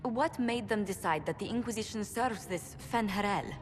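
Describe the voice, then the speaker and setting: A woman's voice: medium pitch, moderate pace, lightly acted. An actress playing a concerned female companion with a probing, insistent manner in a video game. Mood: puzzled. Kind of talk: dramatic video game dialogue. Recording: long hall reverb with faint music.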